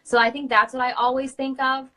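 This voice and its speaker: A woman's voice: sits high, flat delivery, brisk, a bit bright. A young adult woman in a casual chat, sharing tips as a guest. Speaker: guest, princess party performer.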